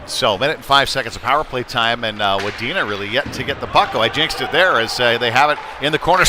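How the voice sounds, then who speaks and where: A man gives live hockey play-by-play over clear rink noise, at an ordinary mid pitch. His delivery is conversational and halting, with uhs scattered through the calls as he follows the puck. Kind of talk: live sports play-by-play commentary. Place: indoor ice rink. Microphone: wired broadcast microphone.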